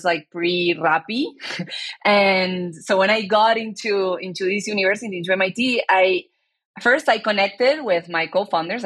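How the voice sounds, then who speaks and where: Woman, earnest halting speech. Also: lowish voice, a bit bright. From Argentina. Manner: earnest, searching for words with many restarts. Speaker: guest, startup founder. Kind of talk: casual conversational interview.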